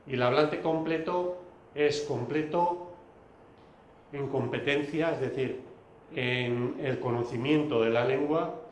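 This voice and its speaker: A man whose first language is Basque, giving a recorded video lecture, pausing often with fillers and restarts. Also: mid-range voice, roomy sound, slight background noise, low-quality recording. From Basque Country. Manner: hesitant, many fillers and restarts.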